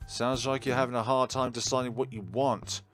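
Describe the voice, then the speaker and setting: A man's voice: mid-range voice, level tone, brisk, fairly bright tone, soft background music. A man hosting a let's play, reading game narration aloud and adding teasing, argumentative asides.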